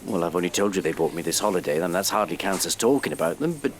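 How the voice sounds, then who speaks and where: A politely weary young holidaymaker in a radio play. His pitch moves about a lot as he hedges awkwardly and drily, with clear vehicle noise behind him. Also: lively intonation, fast. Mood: awkward, flustered. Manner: dry, hesitant, awkwardly polite. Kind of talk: audio drama comedy acting.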